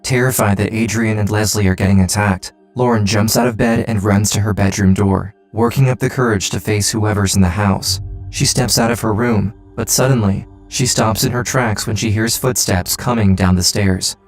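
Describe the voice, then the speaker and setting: A man's voice: lowish voice, fairly even intonation, normal speed, soft background music. A man reading a dramatic scripted true crime narration. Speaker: documentary narrator.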